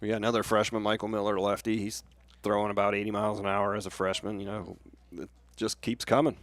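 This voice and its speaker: A man's voice: lowish voice, level tone, quick pace, dry recording. A man with an American accent giving conversational baseball analysis as a colour commentator.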